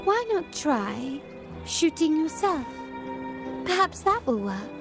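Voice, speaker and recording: An actress playing a taunting doppelganger in a video game, with a theatrical, teasing, mocking manner. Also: female voice, high-pitched, very animated, takes it slowly, music in the background, full character voice. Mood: mocking, seductive. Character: taunting female doppelganger born of a noblewoman's memories.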